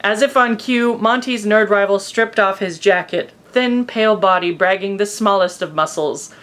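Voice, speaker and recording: A woman reading fan fiction aloud as an expressive, amused comedic narrator. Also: mid-range voice, normal speed.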